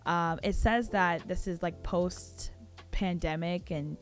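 Slightly hoarse excitable young woman host. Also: lowish voice, takes it slowly, faint music behind. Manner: excitable, chatty, exclaims and talks back to the screen. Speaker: reaction channel host. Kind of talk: casual reaction chat. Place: small home studio, indoors. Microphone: studio mic on boom arm, close.

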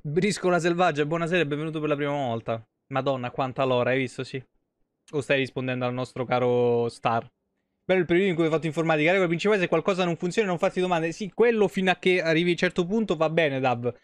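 A man's voice: on the high side, quick pace, no room sound. A man in his thirties doing casual live game commentary and chatting with viewers, informal and swearing freely. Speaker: live streamer.

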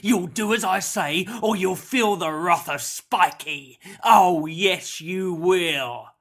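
Narrator acting a menacing skinny villain, sneering, growling, gloating, forceful delivery, children's storybook. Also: mid-range voice, lively intonation, takes it slowly, husky, full character voice. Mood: bossy, threatening. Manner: sneering, growling, repeats himself in gloating tags. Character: menacing grey skinny man, sneering child-snatcher with a dog.

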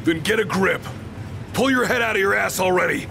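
A man playing a gruff, blunt, hot-tempered young warrior bodyguard in a video game cutscene. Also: sits high, brisk, background noise. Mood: furious. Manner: blunt, confrontational.

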